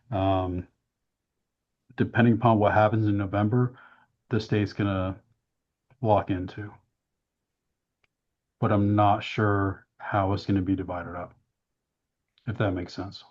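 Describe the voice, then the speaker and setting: Hoarse man explaining a budget. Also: lowish voice, flat delivery, unhurried, pauses often, darkish tone. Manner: hesitant, many "um"s, explains plainly. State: losing his voice. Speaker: town staff presenting the budget. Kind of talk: budget presentation at a council workshop. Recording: dull, muffled meeting audio.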